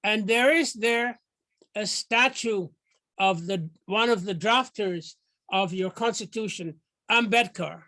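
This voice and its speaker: An older man from Guyana giving a measured, formal lecture over a video call, often restarting his phrases. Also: high-pitched, sharp tone, no room sound. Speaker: guest lecturer.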